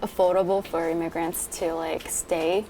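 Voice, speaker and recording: Halting young immigrant woman, lowish voice. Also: flat delivery, mellow, a little hiss or hum. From born in Korea, moved to New York in youth. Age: young adult. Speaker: interviewee, local resident. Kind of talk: casual spoken testimony.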